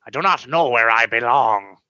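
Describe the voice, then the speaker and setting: An actor playing a proud fanged alien scientist in a comedy radio play, speaking in formal, deliberate phrases. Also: male voice, mid-range voice, animated, bright, forceful tone, lo-fi sound. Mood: downcast.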